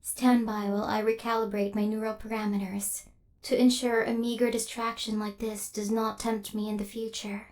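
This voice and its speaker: A woman's voice: medium pitch, little pitch movement, normal speed, darkish tone, close to an everyday voice. A woman voicing a cold, haughty female killer robot in an ASMR roleplay, speaking in a formal, clinical, stilted way with a hint of hidden fluster. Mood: flustered, softening.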